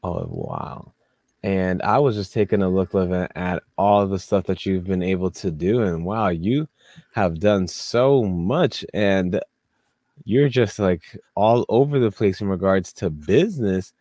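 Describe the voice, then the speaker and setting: A man's voice: low-pitched, unhurried, mellow, dry recording. A man co-hosting a podcast, interviewing a guest in an upbeat, encouraging way. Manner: upbeat, encouraging, frequent backchannel. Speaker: podcast co-host.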